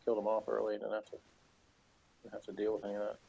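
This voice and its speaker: A man's voice: on the low side, monotone, very fast, gravelly, mellow, husky. A man co-hosting a podcast, giving casual, joking and sarcastic commentary on a TV episode. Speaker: podcast co-host. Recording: dull lo-fi recording.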